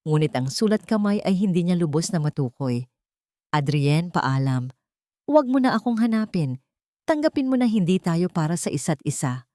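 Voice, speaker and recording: A woman with a fairly low voice narrates a scripted love drama in a very dry, close recording. She delivers it in short, measured phrases with a dramatic storytelling tone. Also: darkish tone, dry recording.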